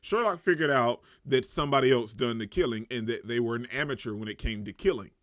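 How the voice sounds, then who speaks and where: A man giving a casual, excitable reaction and review as a channel host, often repeating himself for emphasis. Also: mid-range voice, fast, no room sound, studio quality.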